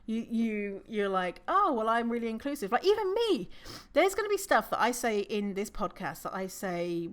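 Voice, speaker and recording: A non-binary podcast host with a low voice, talking in a casual, rambling solo monologue with fillers, self-corrections and casual swearing. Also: big pitch swings, normal speed, few pauses. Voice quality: low voice for a woman's range.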